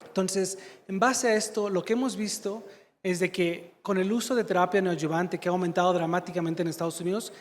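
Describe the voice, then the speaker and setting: A man from Mexico with a slight Mexican accent and a high voice gives a medical conference talk. He searches for words now and then and fills gaps with "este". A long reverb from a large hall carries his voice. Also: steady tone, brisk. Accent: slight Mexican Spanish. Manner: fluent but searching for Spanish words, fillers like "este". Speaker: conference speaker, surgeon.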